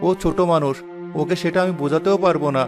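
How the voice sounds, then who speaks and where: Man as careworn village father, cartoon dub, fairly high pitch, plaintive, gentle, music behind. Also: fairly even intonation, quick pace, close to an everyday voice. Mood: downcast, weary. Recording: music under the voice.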